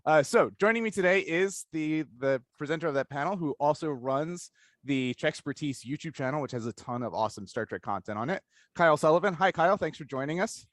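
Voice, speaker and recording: A man hosting a casual interview, speaking in a halting, self-correcting way. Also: a little high, lively intonation, dry recording. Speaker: podcast host and interviewer. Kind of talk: casual interview intro and chat.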